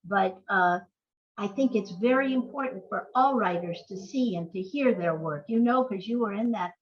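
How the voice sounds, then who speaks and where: Older female writer as interview guest, anecdotal and thoughtful, medium pitch, dull audio. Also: normal speed. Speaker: playwright being interviewed. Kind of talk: conversational interview answers. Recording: dull, lo-fi voice recording.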